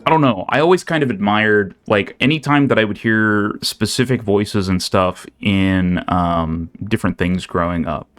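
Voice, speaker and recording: A man's voice: on the low side, moderate pace, few pauses, a bit bright. A young man streaming a game, chatting casually with lots of fillers and restarts. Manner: rambling, many fillers and restarts. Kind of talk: casual chat while playing. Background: faint game music.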